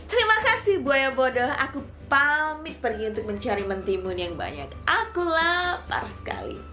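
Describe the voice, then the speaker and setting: A woman's voice: high voice, very animated, normal speed, sharp tone, soft background music, voice pushed up, heavily acted. A woman voicing a sly, boastful trickster mouse deer in a children's storybook, sweet-talking with feigned innocence. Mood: gloating.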